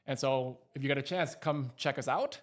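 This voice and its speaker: A man's voice: medium pitch, normal speed. A man hosting an interview in a studio, talking with many fillers and repeated words. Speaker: interview host.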